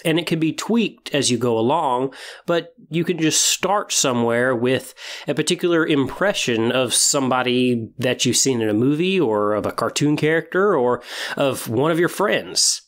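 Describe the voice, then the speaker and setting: A man hosting a podcast monologue, explaining and giving tips in a measured way with emphatic phrasing. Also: mid-range voice, fairly bright tone. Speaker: podcast host.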